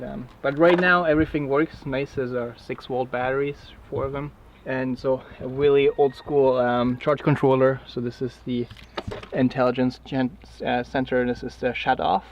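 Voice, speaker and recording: A man's voice: medium pitch, lo-fi sound, a little hiss or hum. German-accented man giving a home tour. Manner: halting, many fillers and restarts, laughs often. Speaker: tiny house owner giving a tour. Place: small wooden tiny house, indoors and just outside.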